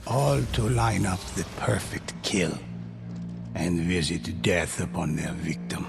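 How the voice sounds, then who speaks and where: An actor playing a solemn male storyteller who narrates legends in a game, with a slow, grave, measured delivery. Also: deep voice, animated, creaky, exaggerated voice. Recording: game audio with loud music.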